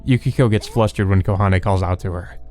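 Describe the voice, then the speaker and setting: A woman's voice: extremely low pitch, brisk, a little mellow, faint music behind. A woman reading visual novel narration aloud with casual commentary of her own. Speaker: let's player.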